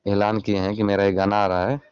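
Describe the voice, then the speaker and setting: A Bihari man with a low, clear voice presses someone over the phone as a channel reporter. He is insistent and scolding, and the call sounds dull and dry, with little room sound. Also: little pitch movement, fairly quick, darkish tone, dry recording. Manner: insistent, admonishing. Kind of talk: confrontational phone interview. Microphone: recorded phone call.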